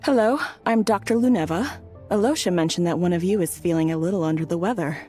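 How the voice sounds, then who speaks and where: Actress as a female physician, lowish pitch, calm, courteous, reassuring, video game dialogue, soft music behind. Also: quick pace, close to an everyday voice. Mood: polite, inquiring.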